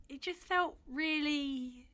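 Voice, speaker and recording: A woman playing an anxious, wistful young bride in a naturalistic, gently comic audio drama, speaking in a hesitant, halting way. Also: sits high, full character voice. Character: hungover, anxious, wistful young bride on her wedding morning.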